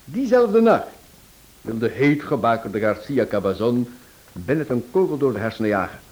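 An actor plays a theatrical male sleuth in a radio drama. He tells his case with relish and emphasis, his mid-range voice swinging widely in pitch. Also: very animated, moderate pace, darkish tone, close to an everyday voice, rough recording. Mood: dramatic relish. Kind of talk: Dutch radio play acting.

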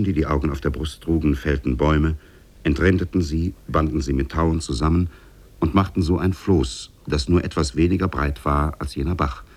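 A man narrating a fairy tale for 1950s German radio drama in a measured, solemn storytelling manner. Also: rumbling low, a little mellow, slightly rough.

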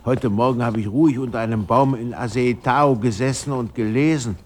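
An actor playing a restless literary man in a radio drama, polite and measured while he argues. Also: mid-range voice, natural voice. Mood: contrary.

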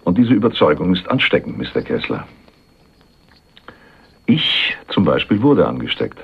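Husky, sly would-be hitman. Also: low-pitched, sing-song, moderate pace, exaggerated voice. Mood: sly, insinuating. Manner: sly, insinuating, self-assured. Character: cunning, cynical man offering himself as hired killer. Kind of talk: 1980s German radio crime drama. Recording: dull, muffled radio recording.

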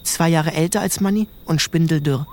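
An actor narrating an audio drama in the first person as a reflective youngest brother. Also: male voice, fairly high pitch, normal speed, a little mellow, slight background noise, natural voice. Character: reflective youngest brother recalling a rough childhood.

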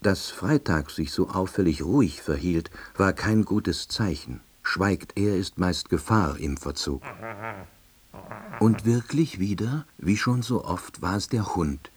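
An actor playing a wry, hapless middle-aged salesman, narrating his own story in an audio drama with a dry, deadpan delivery and husky voice. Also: low voice, takes it slowly, slight background noise. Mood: wry, resigned. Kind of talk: scripted first-person narration.

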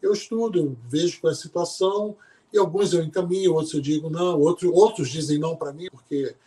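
Talkative Brazilian man, mid-high voice. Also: a little fast. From Brazil, born in Salvador, raised in Rio de Janeiro. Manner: relaxed and talkative, restarts phrases often. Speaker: sports psychologist guest. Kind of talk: casual podcast interview.

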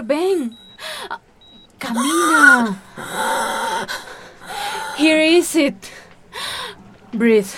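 An actress in a radio drama playing a caring woman who coaxes slowly and switches between English and Spanish. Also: sits high, exaggerated voice. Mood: alarmed. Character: caring woman migrant mixing English and Spanish, soothing Esperanza. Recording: very noisy, clicky recording.

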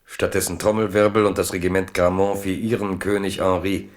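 A man narrating a 1970s German radio drama in a measured, dramatic, literary storytelling style. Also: sits low, flat delivery.

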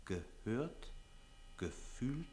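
An actor playing an eerie, cryptic stranger in a radio play, hushed, halting and incantatory. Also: male voice, mid-range voice, very animated, a little hiss or hum. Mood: eerie, dreamy. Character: eerie male stranger taken for mute, dreamy and cryptic.

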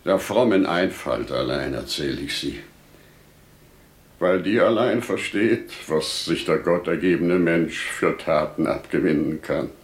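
An actor playing a wise, devout older merchant father in solemn, declamatory, deliberate 1950s radio play style. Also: low-pitched, expressive. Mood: grave, confiding. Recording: 1950s radio drama recording.

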